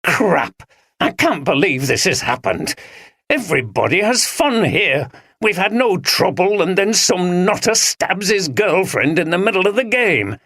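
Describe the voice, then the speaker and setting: A man's voice: high voice, very animated, unhurried, a bit bright, dry recording, clean studio sound, pitched above the performer's own voice, exaggerated voice. A man voicing a jumpy, nervous male actor who talks agitatedly.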